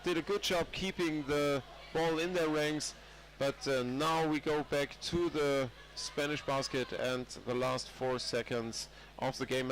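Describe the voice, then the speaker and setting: A man's voice: on the high side, a bit bright, slight background noise. A man with a cold commentating a live match, rambling with many fillers and restarts. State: has a cold. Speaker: sports commentator.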